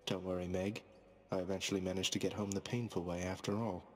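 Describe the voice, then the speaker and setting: A young underworld prince acted by a man in a fantasy game. His voice sits low, with a dry, understated, sardonic delivery, and faint music plays underneath. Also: little pitch movement, fast, darkish tone, lightly acted. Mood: dry, casual. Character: wry young male underworld prince, rebellious yet tender. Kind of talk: video game character dialogue.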